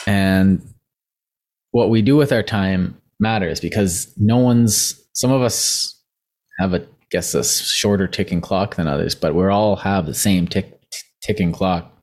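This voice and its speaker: Deep-voiced man rambling on a podcast. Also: a little mellow. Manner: rambling, thinking aloud with many fillers. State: losing his voice. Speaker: podcast co-host. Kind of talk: casual discussion podcast.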